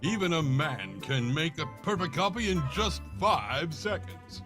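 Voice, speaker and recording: Man playing a slow, smug radio-ad husband. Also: on the high side, expressive, over music. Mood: smug, cheerful. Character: smug, affectionate husband in vintage radio commercial. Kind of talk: 1940s radio commercial acting.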